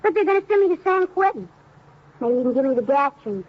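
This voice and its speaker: A performer acting a sullen, defiant fourteen-year-old boy in a 1950s radio crime drama, with a husky young voice and curt, sarcastic delivery. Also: sits high, sing-song, fast talker. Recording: muffled old radio recording.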